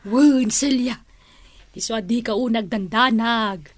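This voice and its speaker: A reassuring auntie in a radio drama. Her voice is fairly high and recorded close and dry. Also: slow, no echo. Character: reassuring older confidante, an auntie.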